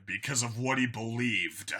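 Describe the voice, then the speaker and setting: A grim human cop, read by a man, quiet and firm, with a very crisp, forceful edge to the voice. He is grave and morally resolute, as heard in a sci-fi audiobook. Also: mid-range voice, measured pace, heavily acted.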